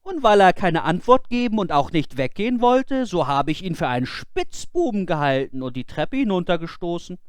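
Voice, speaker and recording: A high-pitched, simple-minded boy from a storybook, played by a man reading aloud. He speaks with earnest, guileless emphasis and sounds fearless and innocent. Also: sing-song, normal speed, hardly any pauses, clean tone, no room sound, studio quality. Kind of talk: fairy-tale storybook character voice.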